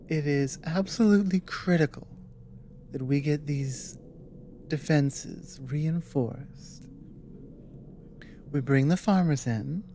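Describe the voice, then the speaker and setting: A woman playing a weary, earnest dragon ally with magic in an ASMR audio roleplay, speaking in a measured, halting way. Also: low voice, mellow, a little hiss or hum. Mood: grave, urgent.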